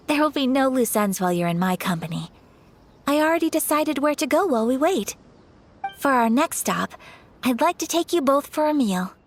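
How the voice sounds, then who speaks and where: A young woman voicing a noble lady in a video game, speaking politely, formally and gently. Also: high-pitched, lively intonation, moderate pace, long pauses, a little hiss or hum. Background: faint background music.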